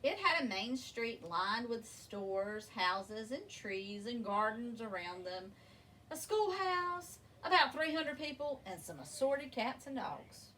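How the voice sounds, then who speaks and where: High, lively woman reading a children's story. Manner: expressive, deliberate, drawn-out emphasis. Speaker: storybook reader. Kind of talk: read-aloud children's story narration. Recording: distant mic with faint background noise.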